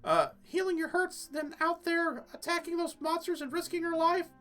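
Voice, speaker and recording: A man voicing a worried, tender mother from a video game in a halting, gushing falsetto. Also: a bit bright, soft background music. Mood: worried, pleading. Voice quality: high falsetto. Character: worried, pleading, tender mother of a young woman.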